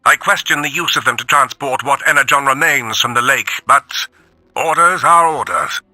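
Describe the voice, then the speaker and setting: An actor playing a brisk robot war officer giving emphatic, instructive briefings in a video game audio log. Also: male voice, medium pitch, bright, forceful tone, dry recording, low-quality recording. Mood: disapproving.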